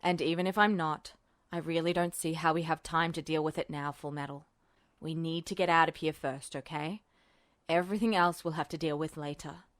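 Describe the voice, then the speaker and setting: A woman's voice: low-pitched, steady tone, normal speed, no room sound, studio quality, pitched below the performer's own voice, close to an everyday voice. A woman voicing a stoic, wry male commander in an audiobook story, with a clipped, understated delivery. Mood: firm, weary. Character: adult man soldier, dry, wry, stoic commander. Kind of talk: audiobook character voice.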